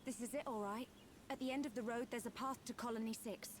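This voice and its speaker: A woman's voice: a little high, fairly even intonation, quick pace, natural voice. An actress playing a worried, caring young woman adventurer in a video game cutscene, with faint background noise.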